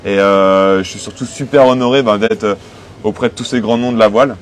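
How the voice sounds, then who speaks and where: A young man gives conversational interview answers. He is a skipper speaking aboard his boat, with clear ambient noise behind him. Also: lowish voice, fairly even intonation, a little fast. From Cholet, western France. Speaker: sailing skipper being interviewed. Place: aboard a sailing boat in port. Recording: noticeable background noise on location.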